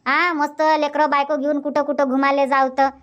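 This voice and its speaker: Someone, a woman, doing a high-pitched nagging village aunt. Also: level tone, brisk, fairly bright tone, dull audio. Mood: teasing, nagging. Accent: Varhadi Marathi from Vidarbha. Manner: scolding, motherly, repeats herself. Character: chatty older village aunt, teasing and nagging. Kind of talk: Marathi cartoon comedy dub.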